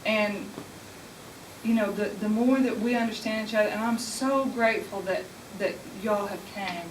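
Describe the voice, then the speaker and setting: A woman's voice: mid-range voice, moderate pace. A woman speaking sincerely on a panel in a conversational way, often restarting her sentences. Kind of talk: panel discussion. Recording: echoey room, distant mic, hiss.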